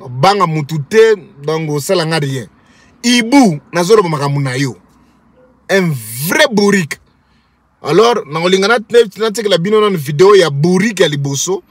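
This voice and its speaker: A man talks straight into a phone held at arm's length from the seat of a parked car. His voice sits high and a little husky, and the sound is close and dry. He mocks and insults his target with heavy emphasis. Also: lively intonation, normal speed, no echo. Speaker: commentary vlogger. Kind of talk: mocking commentary vlog in Lingala and French. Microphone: phone camera at arm's length.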